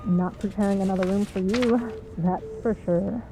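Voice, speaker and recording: A cheeky female supervillain played by a voice actress in a comedy audio drama. Her voice sits at a middle pitch, and she teases with breezy, smug sarcasm, entirely at ease as a troublemaker. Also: steady tone, normal speed, lightly acted. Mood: smug teasing. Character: cheeky, smug woman supervillain, frequent hospital patient. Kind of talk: comedic audio roleplay acting.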